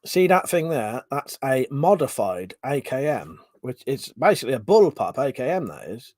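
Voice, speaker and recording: Man in his forties, live streamer, lively up-and-down pitch, dry close sound, sweary banter. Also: moderate pace, no room sound. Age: 40s. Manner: swearing, laughing, animated banter. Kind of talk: casual live stream chat reading comments. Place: home room.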